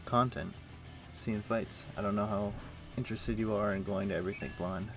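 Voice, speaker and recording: Low-voiced man chatting casually on a game stream. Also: steady tone, moderate pace, faint music behind. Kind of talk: casual chat while playing an online game.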